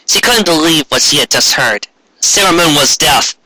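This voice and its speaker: Male narrator for amateur fiction reading: very forceful, bright tone, a bit husky, occasionally stumbling. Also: fairly high pitch, lively intonation, moderate pace, dry recording, poor audio. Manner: stumbles over words while reading. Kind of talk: dramatic reading of fan fiction.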